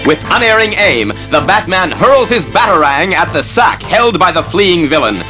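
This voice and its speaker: A man's voice: sits high, expressive, normal speed. A man narrating a superhero audio drama in a grand, suspenseful, declamatory manner. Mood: urgent, dramatic. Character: dramatic male storyteller of superhero adventures. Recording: cassette audio with music behind.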